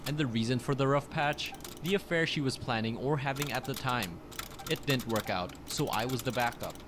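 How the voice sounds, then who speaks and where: Male narrator, online story reader, mid-range pitch, audibly noisy recording. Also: moderate pace. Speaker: narrator of online relationship stories. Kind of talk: scripted narration, reading posts aloud. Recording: clearly noisy background.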